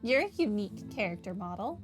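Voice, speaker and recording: A woman's voice: mid-range voice, very animated, fast. A woman giving casual, playful, wry commentary while playing a video game. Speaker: game player commenting on a playthrough. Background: faint game music.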